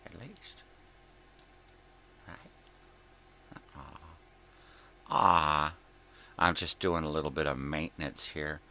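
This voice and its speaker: A man's voice: rumbling low, fairly even intonation, takes it slowly, pauses often, pushed and bright. A middle-aged man hosting a casual vlog, rambling and muttering to himself as he repeats his phrases. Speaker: vlog host. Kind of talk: rambling casual morning chat. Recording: clear background noise, room ambience.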